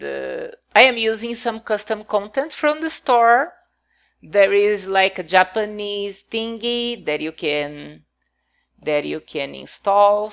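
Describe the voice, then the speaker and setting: A woman's voice: on the low side, creaky, fairly bright tone, clean tone, highs cut off. A woman whose first language is not English, talking casually over her game build in a hesitant, rambling way with many fillers.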